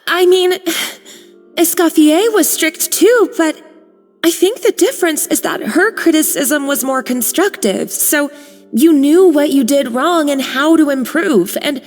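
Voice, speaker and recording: A loyal young kitchen worker from a video game, earnest and outspoken. Her voice sits high and sounds worried. A long reverb trails it with faint music underneath. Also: lively intonation, measured pace, a little mellow.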